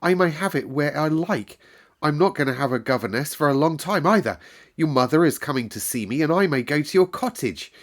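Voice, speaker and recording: A man's voice: a little high, lively intonation, a little fast, voice pushed up, close to an everyday voice. Mary: a man voicing an eager little girl. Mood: excited. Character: sickly sour little girl, eager, wistful. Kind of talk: storybook read-aloud.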